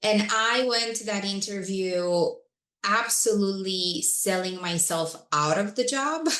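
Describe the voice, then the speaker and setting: A woman's voice: medium pitch, slow pace, fairly bright tone, roomy sound. A woman from Romania, a guest telling her career story in an interview with frequent laughs. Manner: reflective, laughs often.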